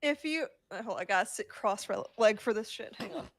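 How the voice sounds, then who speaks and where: A woman's voice: mid-range voice, expressive, brisk, no echo, clean studio sound. A woman podcast panelist talking casually and very informally. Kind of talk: casual chat about a TV show.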